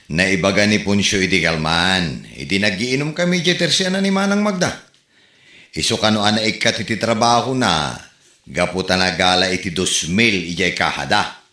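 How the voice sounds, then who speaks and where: Man, lowish bright voice, lively gossipy comedy drama acting, echoey room. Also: moderate pace.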